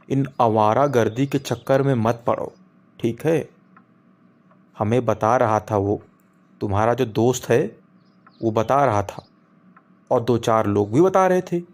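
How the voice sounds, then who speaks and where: A man's voice: medium pitch, a little mellow, close to an everyday voice. A man acts out a middle-aged father from a comic cartoon, exasperated and preachy. He, with a coarse, foul-mouthed streak. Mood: stern lecturing. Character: exasperated middle-aged Indian father scolding his wayward son.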